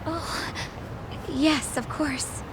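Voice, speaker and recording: A woman's voice: high-pitched, steady tone, very slow, noisy background. An actress in an English game dub playing a timid, polite young woman who speaks hesitantly. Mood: shy, relieved. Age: young adult. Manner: hesitant, meek. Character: timid, polite young woman worried about her father. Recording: background music over the dialogue.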